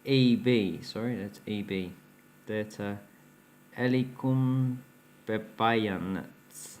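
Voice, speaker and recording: Male hobby host, lowish voice, faint noise behind, chatty show-and-tell. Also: takes it slowly, a little mellow. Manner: chatty, explains while handling coins, laughs at his own slips. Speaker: coin collecting channel host. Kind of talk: casual show-and-tell vlog.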